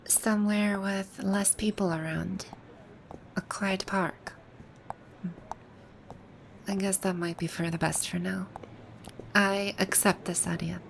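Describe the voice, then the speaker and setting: Woman acting a haughty, unhurried female villain boss. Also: medium pitch, fairly even intonation, gravelly, slight background noise, poor audio. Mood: grudging. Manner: imperious, formal, tsundere grumbling. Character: haughty, grouchy female video game villain boss, secretly soft. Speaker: audio roleplay voice actor. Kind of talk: ASMR roleplay acting.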